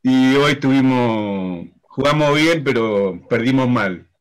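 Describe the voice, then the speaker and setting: A middle-aged man chatting as a guest on a video call from home, telling stories in a rambling, animated way. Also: mid-range voice, takes it slowly, loud music behind the voice. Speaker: guest, media director. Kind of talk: casual chat. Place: home room in Mendoza. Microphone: video call webcam.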